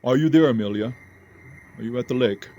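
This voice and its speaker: An actor playing a calm, reassuring hypnotist doctor in an audio drama, with a measured, soothing, commanding delivery. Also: male voice, mid-range voice, natural voice. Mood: probing.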